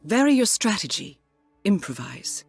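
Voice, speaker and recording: A woman playing a composed, confident young British spy handler in a video game, speaking formally and with measured poise. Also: medium pitch, very animated, vocal fry, over loud music. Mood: briskly instructive. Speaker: mission handler and training guide. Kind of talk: video game cutscene acting and mission briefing. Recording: background score under the voice.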